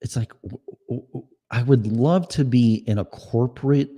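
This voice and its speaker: A man's voice: medium pitch, moderate pace, mellow, breathy edge. A man with a Chicago accent hosting a solo podcast, ranting in a blunt, sarcastic and profane way. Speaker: podcast host.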